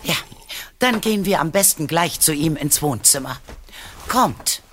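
A woman's voice: deep voice, animated, unhurried, a little hiss or hum. An actress playing a worried, secretive woman researcher in an audio drama, speaking earnestly and precisely. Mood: serious.

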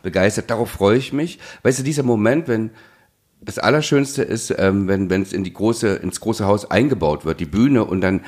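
A man's voice: on the low side, moderate pace, a little mellow. A man with chatting casually as a podcast host, effusive in wording and often searching for words. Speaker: podcast host, musical maker.

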